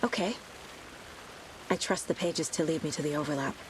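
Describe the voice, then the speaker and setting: A woman's voice: low voice, normal speed, noisy background, natural voice. A woman playing a focused, intuitive federal agent in a video game, speaking in terse, pensive phrases. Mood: puzzled, intent. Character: focused, intuitive female FBI agent investigating supernatural murders. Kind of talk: video game acting, murmured detective monologue.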